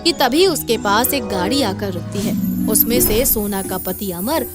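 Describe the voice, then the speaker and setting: A woman's voice: medium pitch, lively intonation, a little slow, loud music behind the voice. A woman narrating a scripted moral story as a storyteller.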